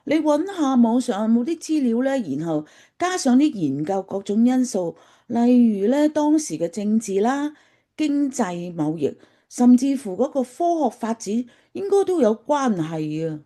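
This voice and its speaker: A woman's voice: a little high, very animated, a little slow, warm tone. A woman playing a practical, matter-of-fact mother in a Cantonese radio drama. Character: practical, brisk mother of a schoolchild.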